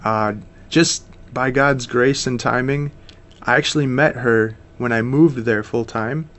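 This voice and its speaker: A man's voice: mid-range voice, unhurried, a bit bright. An American man in his thirties, a missionary guest, talking earnestly and steadily in a casual interview, with frequent fillers. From United States.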